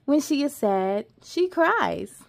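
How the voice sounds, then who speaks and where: A woman in her thirties hosting a children's story time, expressive and sing-song as she speaks to young viewers. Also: high voice, takes it slowly, creaky, slight background noise. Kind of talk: children's read-aloud with asides to young viewers. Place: living room at home.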